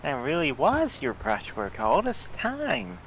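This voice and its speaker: A man reads game dialogue with a bright, animated voice that swoops all over. He takes his time, with faint noise behind him. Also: a little high, takes it slowly, rough recording. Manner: playful, voicing a companion character. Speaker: let's play host. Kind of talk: reading game dialogue aloud with friends.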